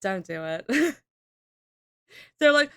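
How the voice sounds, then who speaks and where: A woman's voice: on the high side, sing-song, takes it slowly, pushed and bright, clean tone. A young woman from the UK chatting casually on a stream, reading chat aloud, laughing often and swearing. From United Kingdom.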